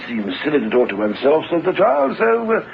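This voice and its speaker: An actor playing a calm, kindly family doctor in a radio drama, explaining in a professional way. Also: male voice, on the high side, a little fast, gravelly. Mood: reassuring. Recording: dull lo-fi radio recording, faint noise.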